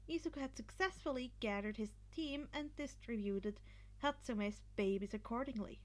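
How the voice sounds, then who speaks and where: A woman reading fan fiction aloud as an amateur narrator of a scripted story. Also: fairly high pitch.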